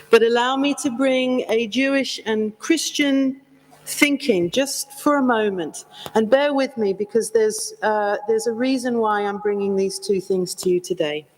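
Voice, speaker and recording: A woman's voice: fairly high pitch, poor audio. A woman reverend giving a gracious interfaith address as a guest speaker, with an accent that is not South African. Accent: non-South African. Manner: warm, measured, grateful, storytelling. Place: convention hall.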